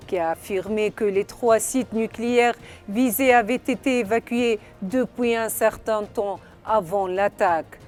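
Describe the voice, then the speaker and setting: A woman narrating a scripted news report in a formal, measured delivery. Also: mid-range voice, darkish tone. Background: background music.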